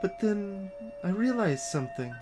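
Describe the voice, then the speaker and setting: Woman to play selfless young game hero; soft-hearted, halting, earnest, unhurried speech with music underneath. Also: medium pitch, expressive, natural voice. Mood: apologetic, sympathetic. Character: gentle, selfless lost child on a world-saving quest. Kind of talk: voiced video game dialogue reading.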